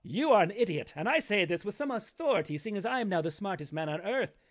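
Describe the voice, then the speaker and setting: A man putting on a haughty, sneering know-it-all for a storybook tale, pitched up high and swooping with scorn. The voice drips with smug superiority. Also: animated, fast. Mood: gloating. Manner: haughty, mocking. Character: pompous gloating male genius, smartest man.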